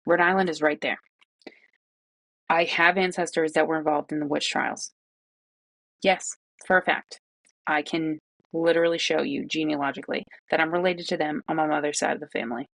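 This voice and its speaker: Gravelly-voiced exasperated woman vlogger from New England. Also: sits low, steady tone, normal speed, pauses often, no echo, poor audio. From Massachusetts, North Shore of Boston. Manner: incredulous, ranting, exasperated asides. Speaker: true crime YouTuber. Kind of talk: casual commentary vlog.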